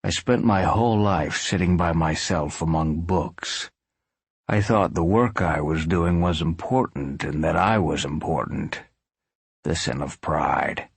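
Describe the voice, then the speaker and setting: A man playing a solemn, guarded, bookish man with a measured delivery in an audiobook. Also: low-pitched, slightly rough, dry recording, full character voice.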